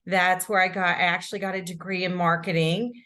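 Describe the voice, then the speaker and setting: A woman's voice: lowish voice, little pitch movement, brisk, sharp tone. A woman from Houston speaking as an interview guest, upbeat and conversational, often repeating her phrases. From Houston, Texas. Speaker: guest, company director.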